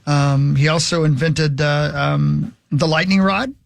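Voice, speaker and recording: A man's voice: fairly high pitch, fairly even intonation, a little slow, creaky, no echo. A man hosting a morning radio show with casual banter and trivia.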